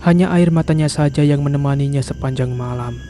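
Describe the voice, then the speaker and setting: A man's voice: fairly high pitch, fairly quick, warm tone, a little hiss or hum. A man narrating a horror story in a scripted storytelling style.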